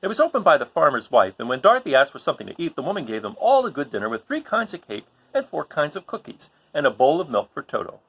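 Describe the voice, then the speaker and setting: A man reads a storybook aloud as its host, with hugely animated pitch that sits fairly high. His voice is husky, and the recording is a little dull. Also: quick pace, hardly any pauses. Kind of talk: expressive children's story read-aloud.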